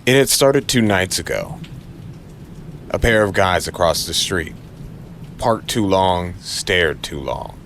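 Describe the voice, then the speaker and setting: Male noir storyteller, very low register, brooding and clipped, dramatic audio drama read over faint rainy ambience. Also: expressive, takes it slowly, pauses often, a bit bright, a little hiss or hum. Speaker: narrator.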